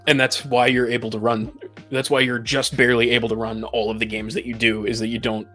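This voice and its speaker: A man's voice: mid-range voice, flat delivery, brisk, pushed and bright, soft background music. A man in a casual podcast discussion, making his points emphatically and restating them. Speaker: podcast panelist. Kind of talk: casual opinionated discussion of tabletop games.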